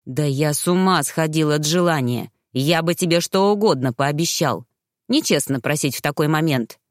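A woman voicing an earnest, easily exasperated husband in a fantasy audiobook, serious and measured. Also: low voice, lively intonation, darkish tone, dry recording, studio quality. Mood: defensive.

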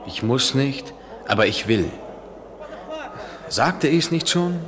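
An actor playing a restless, wry man travelling abroad, measured and poetic, in a noisy 1970s radio play recording. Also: mid-range voice, animated, a crowd in the background. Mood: aloof. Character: restless, wry European traveller, aloof yet attracted man.

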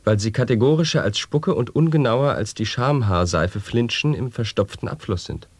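An actor in a 1980s radio play, reciting absurd answers to a list of questions in a declamatory way. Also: male voice, medium pitch, lively intonation, moderate pace, no echo. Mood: deadpan. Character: man answering absurd questions, matter-of-fact. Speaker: voice in a sound-poetry radio play. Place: dry radio studio.

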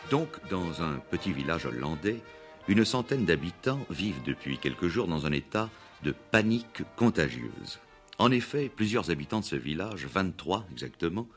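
Deep, animated male radio announcer. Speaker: radio drama announcer and narrator. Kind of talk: scripted narration, 1960s radio. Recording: old 1960s radio broadcast recording.

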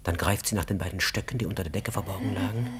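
A man reading literary narration for a radio drama in a measured, deliberate, sombre way. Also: low voice, fairly bright tone, slight background noise.

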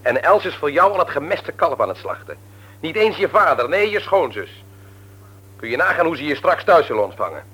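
An actor playing a stern, patronising man in authority in a radio drama, lecturing emphatically in a husky voice. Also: fairly high pitch, sing-song, brisk, fairly bright tone, exaggerated voice, poor audio. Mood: scolding. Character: stern, patronising older brother and works supervisor, adult man.

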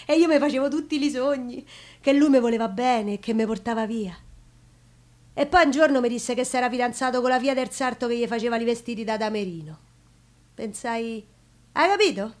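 Actress as a proud Roman working girl, high-voiced. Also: lightly acted. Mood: wistful, tender, then bitter. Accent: Roman Italian. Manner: earthy, colloquial, confiding. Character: proud, frank, nostalgic Roman prostitute telling her story. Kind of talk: theatrical monologue.

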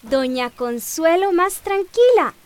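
A candid young maid in a radio drama. Her voice is very high, and she speaks deferentially. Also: sing-song, takes it slowly, exaggerated voice. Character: young female household helper, candid and deferential. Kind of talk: radio soap opera acting.

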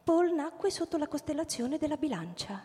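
A woman's voice: sits high, big pitch swings, normal speed, warm tone. A woman narrating a literary radio drama from a script. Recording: long hall reverb.

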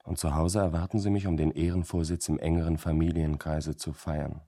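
An actor playing a harried, brooding businessman in a radio drama, gravelly and husky, talking in a rambling, self-questioning way. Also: rumbling low, little pitch movement, brisk, dark tone. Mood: tired, distracted. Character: harried male businessman, brooding, longing for time to think. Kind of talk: German radio drama acting.

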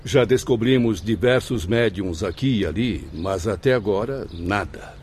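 Low, sober spirit companion. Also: male voice, animated, unhurried, a little mellow, a little hiss or hum. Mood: disheartened. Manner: formal, earnest diction. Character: sober, disheartened male spirit, a thoughtful companion. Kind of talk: radio drama acting.

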